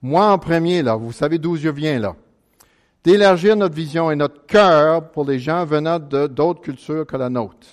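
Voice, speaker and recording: Earnest American man preaching in French. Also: fairly high pitch. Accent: American English. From United States, Chicago suburbs. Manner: earnest, halting with many restarts, prayerful. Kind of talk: sermon.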